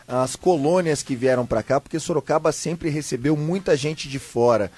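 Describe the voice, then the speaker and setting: A man's voice: a little high, moderate pace, a little mellow, slightly rough. A Brazilian man hosting a live talk show, interviewing in an informal, conversational way. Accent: Brazilian Portuguese.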